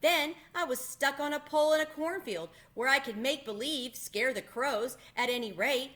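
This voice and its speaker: A woman's voice: high-pitched, moderate pace, a bit bright, pitched above the performer's own voice. A woman voicing a forlorn male scarecrow from a children's storybook. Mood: glum. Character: stranded, despairing scarecrow, male, mournful about brains.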